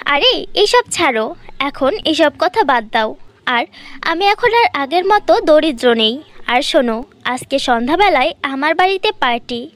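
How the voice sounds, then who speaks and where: A woman's voice: high voice, expressive, measured pace, fairly bright tone. A woman voicing a poor cartoon girl. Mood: excited. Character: poor young girl, tearful then overjoyed.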